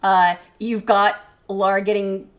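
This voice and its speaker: A woman's voice: medium pitch, little pitch movement, measured pace. A woman giving her take as a panelist in a casual film review chat, blunt and casually profane.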